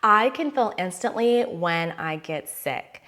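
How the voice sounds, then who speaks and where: Bright-voiced woman hosting a wellness podcast. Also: lowish voice, lively intonation. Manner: chatty, laughs at herself, drawn-out emphatic phrases. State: recovering from a lost voice. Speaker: podcast host. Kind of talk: casual wellness podcast chat.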